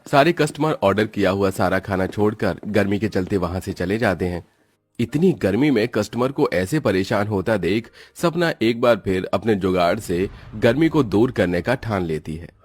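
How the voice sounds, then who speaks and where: A man's voice: on the low side, animated, normal speed, no echo, soft background music. A man reading scripted narration for a cartoon story.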